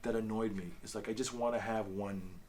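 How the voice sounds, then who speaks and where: A man's voice: fairly low pitch, fairly even intonation, a little mellow. A man co-hosting a homemade review podcast, feeling his way through each sentence over audible background noise. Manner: halting, self-correcting, repeats words. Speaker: host of a review show. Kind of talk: casual review chat.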